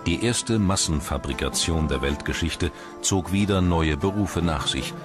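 A man reads scripted documentary narration in a measured, storytelling way. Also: sits low. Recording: loud music under the voice. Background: loud background music.

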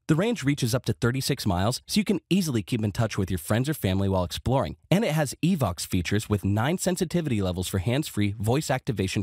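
A man's voice: mid-range voice, darkish tone, breathy edge, dry recording, studio quality. A man reading scripted product review narration with a polished, promotional delivery.

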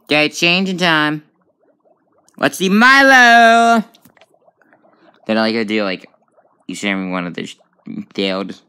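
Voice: medium pitch, big pitch swings, a little slow, pauses often, pushed and bright, clean tone. A gamer giving casual let's play commentary on a tablet game, reacting aloud with frequent exclamations. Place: indoors at a tablet.

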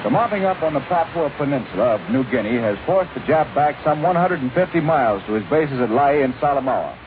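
A man giving a formal, deliberate wartime news report as a 1940s overseas radio correspondent. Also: mid-range voice, animated, phone-quality sound. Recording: noisy, thin 1940s shortwave relay.

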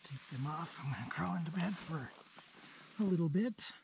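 A man in his thirties vlogging solo from a small tent while camping, talking slowly and sometimes dropping to a whisper. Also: on the high side, creaky, a little hiss or hum. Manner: slow, relaxed, drops to a whisper at night. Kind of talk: casual vlog narration. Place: small tent outdoors in the mountains.

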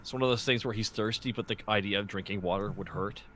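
A man's voice: fairly low pitch, fast, fairly bright tone. A man playing a tabletop roleplaying game, casually narrating what his character does. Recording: faint background noise.

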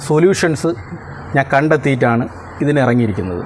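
Fast-talking, insistent Malayali man. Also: mid-range voice, expressive, a little hiss or hum. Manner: emphatic, repeats points insistently. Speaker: interviewee, homeowner organizing a lucky draw. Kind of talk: interview explanation.

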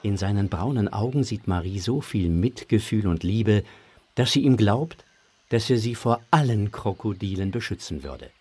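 Children's story narrator, male, husky tone, lively and varied intonation, expressive scripted read. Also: lowish voice, moderate pace.

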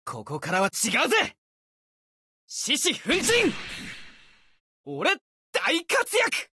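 A man voicing a brash, boastful young sword warrior for an anime-style game, youthful and husky-edged, with cocky, casual boyish speech. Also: very high voice, wildly swooping pitch, very slow, no echo, studio quality, exaggerated voice. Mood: fierce, fired-up. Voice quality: youthful, bright, husky edge.

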